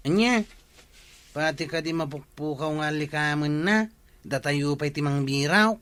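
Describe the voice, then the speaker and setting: A man's voice: fairly high pitch, unhurried, fairly bright tone, clean tone. A man playing a blunt, teasing villager in a comedy drama. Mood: reluctant.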